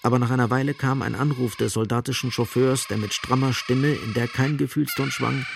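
A man narrating a literary radio play dramatically, in terse, emphatic phrases with a gravelly voice. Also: mid-range voice, steady tone, fairly quick. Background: music.

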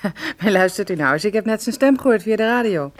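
An actress playing a detective's practical, gently fussing female companion in a radio play, in a conversational manner. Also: mid-range voice, expressive, fast talker, lightly acted. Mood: polite insistence.

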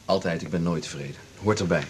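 An actor playing an evasive male cello teacher ending an affair, speaking haltingly in a naturalistic radio drama. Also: low voice, slight background noise, close to an everyday voice. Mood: uneasy.

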